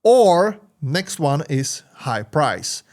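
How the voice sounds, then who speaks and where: A tired man from the Czech Republic, speaking English with a Czech accent, hosts a marketing tutorial in halting speech full of fillers and restarts. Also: on the high side, big pitch swings, darkish tone. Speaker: marketing channel host. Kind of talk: screen-share tutorial talk.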